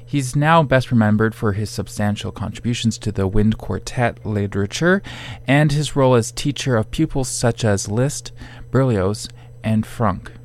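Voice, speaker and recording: A man's voice: fairly low pitch, normal speed, darkish tone. A man presenting a classical music programme as a radio host.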